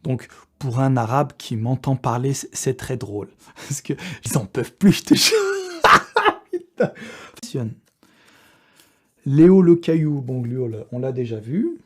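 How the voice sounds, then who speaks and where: A man's voice: mid-range voice, measured pace, long pauses, warm tone. A young man chatting casually to his online followers in a slangy, crude, joking manner. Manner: joking, crude, prone to breaking into laughter. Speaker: online video creator.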